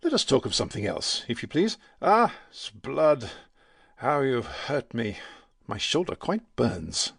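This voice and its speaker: An actor playing a proud, courteous, wounded musketeer with a formal, lordly manner in a dramatic audiobook. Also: male voice, medium pitch, wildly swooping pitch, unhurried, creaky, fairly bright tone, husky, no echo, clean studio sound, full character voice. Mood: courteous, musing.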